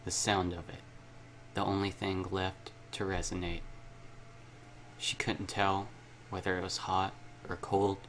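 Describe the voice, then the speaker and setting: A man's voice: sits low, completely flat delivery, measured pace, pauses often, a little hiss or hum, rough recording. A man hosting a gaming video, reading a visual novel aloud and breaking in with casual, self-interrupting asides.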